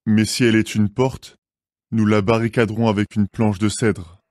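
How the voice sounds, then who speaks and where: A man's voice: lowish voice, flat delivery, moderate pace, a little mellow, no room sound, studio quality, lightly acted. A man playing a protective, resolute brother in a restrained dramatised scripture reading.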